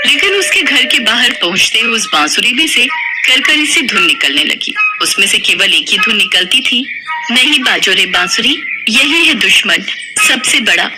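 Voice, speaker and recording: A woman's voice: medium pitch, rough recording. A woman narrating a folk tale from a script as a storyteller.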